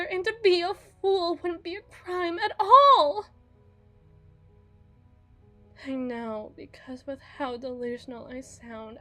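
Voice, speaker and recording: A woman's voice: high voice, a bit bright, exaggerated voice. A woman playing a desperate, bitter young bride in a dramatic ASMR roleplay, with theatrical, sighing, emotional delivery. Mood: desperate, hopeful.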